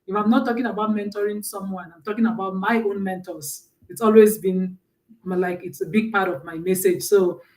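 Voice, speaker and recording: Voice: mid-range voice, steady tone, fairly quick, a little mellow, far from the mic, low-quality recording. A guest speaker from Nigeria with a Nigerian accent, telling personal stories in a conversational talk and often checking in with "right?".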